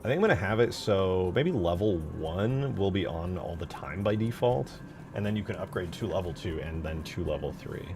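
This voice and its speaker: A man's voice: low voice, animated, moderate pace, background noise. A man streaming casually as he builds a game mod, thinking aloud and answering chat in informal, slangy talk. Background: music behind the voice.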